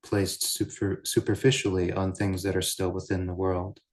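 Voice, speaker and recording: A man guiding a meditation as a teacher, speaking in measured, deliberate short phrases with pauses between them. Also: sits low, flat delivery. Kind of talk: guided meditation, unscripted Buddhist teaching.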